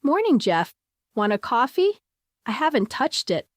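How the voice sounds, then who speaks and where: A woman's voice: a little high, big pitch swings, unhurried, no echo, clean studio sound, pitched above the performer's own voice. A woman voicing a harried, curt female scientist boss in an audiobook story. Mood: brisk.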